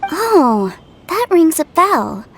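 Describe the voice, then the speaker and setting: An actress playing a caring, lively older sister with a brisk way of talking. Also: female voice, very high voice, slight background noise, heavily acted. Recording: game soundtrack behind.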